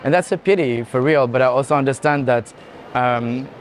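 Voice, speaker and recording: Male art director, casual and halting, mid-range voice, clear noise of a busy venue. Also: mid-range voice. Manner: halting, loosely phrased with frequent "like". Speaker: art institution director on a fair tour. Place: busy art fair hall.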